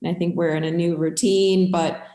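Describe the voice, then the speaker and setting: A woman's voice: on the low side, flat delivery, moderate pace, mellow. German-accented woman in an echoey room. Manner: chatty and upbeat, with frequent fillers. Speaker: customer success team lead. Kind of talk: casual interview talk.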